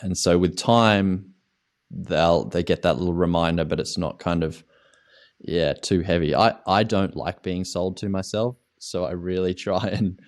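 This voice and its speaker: A man's voice: low-pitched, steady tone, measured pace. An Australian man, an online ski coach, answering interview questions in a casual, rambling way with many restarts and fillers.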